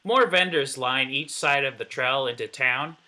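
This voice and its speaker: A man's voice: mid-range voice, moderate pace, sharp tone. A man reading scripted narration aloud in an informal read-through, with the odd slip and stumble. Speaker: table reader of script narration and dialogue.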